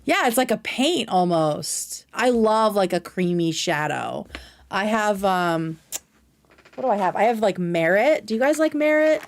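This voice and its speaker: A woman's voice: medium pitch, animated, a little slow. Female livestream host, casual and effusive, mid-range voice, slangy and sweary. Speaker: livestream host reacting to a video. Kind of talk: casual livestream chat with commentary.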